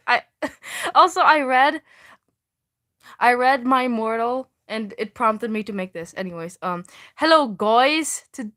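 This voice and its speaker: A young woman on a live stream reads her old fan fiction aloud, stopping to mock it with swearing asides and broken-off sentences. Her voice is bright and sits a little high, and she takes her time with every line. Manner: self-mocking, swears, laughs often, breaks off mid-sentence. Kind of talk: casual livestream reading with asides.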